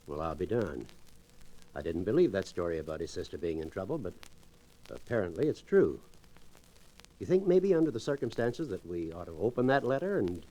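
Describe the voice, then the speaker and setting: An actor playing a genial older frontier judge in an old-time radio western, avuncular and formally worded. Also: male voice, low-pitched, very animated, mellow, low-quality recording. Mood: concerned. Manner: formal, measured wording.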